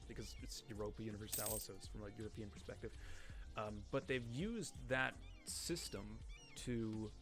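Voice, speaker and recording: A man with a fairly deep-set creaky voice rambles through a casual Let's Play. He interrupts himself, swears freely and swings between excitable outbursts and muttered asides. Music plays behind him. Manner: rambling, self-interrupting, profane. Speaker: Let's Play gamer and commentator. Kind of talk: casual game commentary with dramatic readings of game text.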